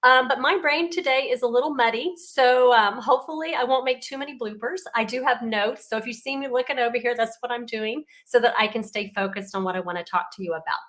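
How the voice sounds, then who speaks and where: Woman, raspy, congested, chatty, craft demonstrator. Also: on the high side, a little fast, hardly any pauses. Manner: chatty and warm, with frequent ums. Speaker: card-making demonstrator. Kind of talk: casual craft tutorial.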